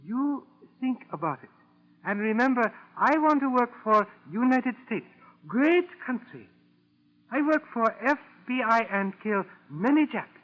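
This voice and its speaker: A fanatical, self-important Japanese spy from a wartime radio play. The voice is startlingly high, with a heavy Japanese accent and clipped broken English. The recording is dull and lo-fi. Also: male voice, sing-song, measured pace, exaggerated voice. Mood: ingratiating, scheming. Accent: exaggerated Japanese accent. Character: boastful, fanatical little Japanese spy posing as eager informant. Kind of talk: 1940s radio acting.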